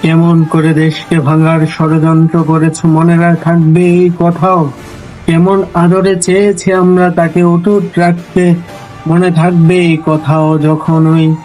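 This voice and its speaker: Man reciting slowly over background music. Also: on the high side, a little mellow, poor audio. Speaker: poetry reciter. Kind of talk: solemn dramatic recitation of a protest poem.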